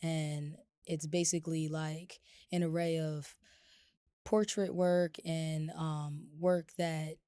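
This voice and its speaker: A woman with a slightly low, cold-roughened raspy voice talks casually on a podcast. She meanders through her thoughts with plenty of fillers. The sound is close and dry. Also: slow pace, dry recording. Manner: relaxed, rambling with many fillers. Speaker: podcast co-host. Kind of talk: casual chat.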